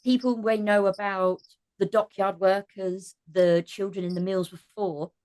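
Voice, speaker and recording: A woman's voice: mid-range voice, fairly even intonation, unhurried, darkish tone. A woman talking casually but with passion as a podcast guest, earnest and emphatic, repeating phrases for emphasis. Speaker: podcast guest, cast member of an audio drama. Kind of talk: impassioned casual discussion.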